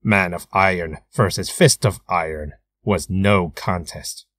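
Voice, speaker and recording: A man's voice: sits low, a little slow, a bit bright, voice pushed down. A man voicing a confident, disciplined martial arts hero in a cool, self-assured way for a game character reel.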